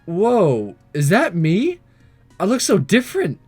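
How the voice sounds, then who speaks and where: A man's voice: sits high, wildly swooping pitch, slow, slightly rough, faint music behind, full character voice. Man acting flustered, hesitant teenage boy. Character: awkward nervous teenage boy, visual novel protagonist disguised as girl.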